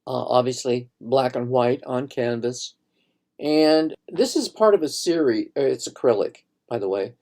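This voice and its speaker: A man's voice: medium pitch, animated, unhurried, vocal fry, darkish tone. A man, a painter, casually walking viewers through his own online exhibition, rambling and thinking aloud with restarts. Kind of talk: casual live gallery tour.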